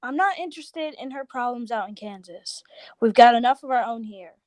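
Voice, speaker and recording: Brisk, disapproving housewife sister played by a woman, raised register, exasperated radio-play scolding, very dry recording. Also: fairly high pitch, animated, a little mellow, no room sound, lightly acted. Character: bossy, disapproving, practical married sister, busy mother. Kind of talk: 1940s radio play acting.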